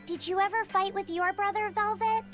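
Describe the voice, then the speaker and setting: A woman's voice: very high-pitched, fairly even intonation, normal speed, fairly bright tone, faint music behind. A woman voicing an earnest, innocent young boy, shy and curious, in a video game.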